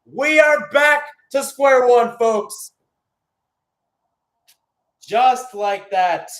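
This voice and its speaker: A man's voice: very high voice, expressive, very slow, a bit bright. A man giving live radio-style play-by-play commentary on a football match, with exclamatory phrasing and rhetorical questions.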